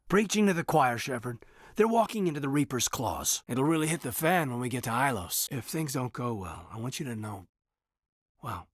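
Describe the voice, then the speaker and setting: A man playing an earnest, dutiful military officer in a video game, speaking in a husky, measured, sincere way. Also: mid-range voice, no echo, clean studio sound. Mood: wry, serious. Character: earnest, dutiful male military officer, reserved and quietly romantic. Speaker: soldier. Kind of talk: video game character dialogue.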